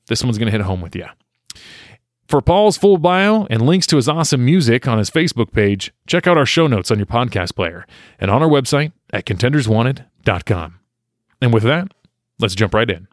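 A man's voice: medium pitch, no room sound, studio quality. Earnest man hosting a podcast. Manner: earnest, reflective, conversational. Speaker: podcast host. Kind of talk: podcast intro and interview.

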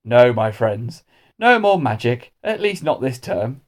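A man voicing a mild, kindly male schoolteacher with magical powers in a children's story, gentle and measured. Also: medium pitch, big pitch swings. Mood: rueful.

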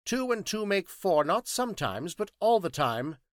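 A man's voice: high voice, big pitch swings, normal speed, a bit bright, dry recording, studio quality, pitched above the performer's own voice. A man voicing a curt, petulant, exacting scientist detective in an audiobook story, speaking precisely and didactically. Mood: curt, certain.

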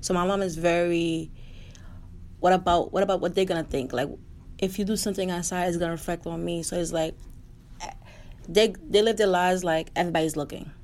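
Soft-spoken woman with a lower voice. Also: steady tone, a little fast. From born in Haiti, raised in New York. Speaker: guest. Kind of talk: casual group chat.

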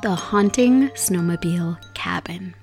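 A woman hosting a podcast, her voice raspy and hoarse from a cold, chatting casually and reading a story aloud in a soft, unhurried way from her desk at home. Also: lowish voice, faint music behind. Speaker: podcast host. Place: home desk set up for streaming.

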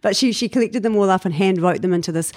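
A woman in her fifties with a New Zealand accent, recalling her past in an easygoing, reflective way. Her voice sits at a middle pitch. It is recorded dry and close, with no room sound. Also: fast talker, no room sound. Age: 50s. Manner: reflective, warm storytelling with frequent fillers and laughs. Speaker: guest. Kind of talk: casual interview chat.

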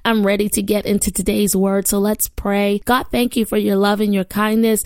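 A woman hosting a daily devotional podcast, preaching and praying with fervor, her voice hoarse after a weekend of ministry, recorded in a small studio. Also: medium pitch, dry recording. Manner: warm fervent preaching and prayer.

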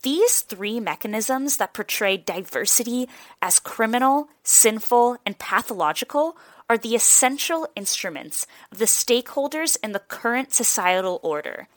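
A young adult woman narrating a reported news magazine episode in a clear, even newsreader manner. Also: a little high, moderate pace, no echo. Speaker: reporter and host. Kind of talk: scripted narration.

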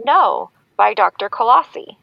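A woman's voice: medium pitch, big pitch swings, a little slow, vocal fry, dry recording, full character voice. A woman playing a nervous, sincere fan calling in to a show in a fiction podcast, hesitant and earnest. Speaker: caller. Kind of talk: scripted fiction podcast acting. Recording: dry, dull call-in line.

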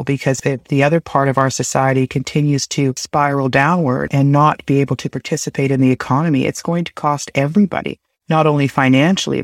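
Steady, flat-voiced man being interviewed. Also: medium pitch, gravelly, no room sound, studio quality. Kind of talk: documentary interview.

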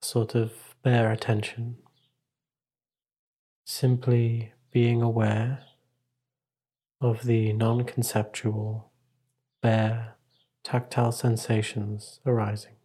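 A male meditation teacher guides a practice in a calm, measured voice. His delivery is even and nearly level in pitch, with a slightly husky grain. He leaves long pauses between short instructive phrases.

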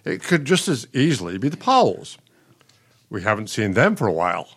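An actor playing a smug, exasperated but caring elderly husband, a retired dean, in a radio play, speaking in a dry, testy way. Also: male voice, on the low side, very animated, moderate pace, a bit bright, slightly rough, heavily acted.

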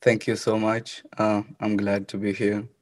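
A young man from Kenya, a college runner answering interview questions in a soft-spoken, hesitant way. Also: lowish voice, completely flat delivery, a little slow, no echo, clean studio sound. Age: young adult.

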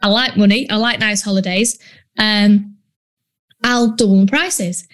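A woman's voice: medium pitch, fairly even intonation, measured pace. A woman with a Yorkshire accent, a business coach talking bluntly and chattily in a casual solo podcast, with plenty of ums. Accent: Yorkshire English. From Yorkshire, northern England. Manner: blunt, chatty, frequent ums and British slang.

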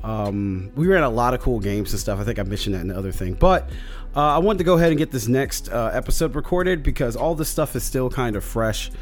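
Guy a bit hoarse going over his d&d session, rambly, faint music under it. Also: mid-range voice, lively intonation, a little fast. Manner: rambling, lots of fillers and restarts. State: slightly hoarse. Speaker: podcast host recapping a tabletop campaign. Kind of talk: casual solo recap.

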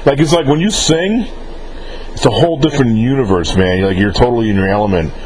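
A man's voice: mid-range voice, expressive, fast talker, a loud crowd, poor audio. A man hosting a casual interview, informal and rambling, often rephrasing his questions as he goes. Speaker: interviewer relaying fan questions.

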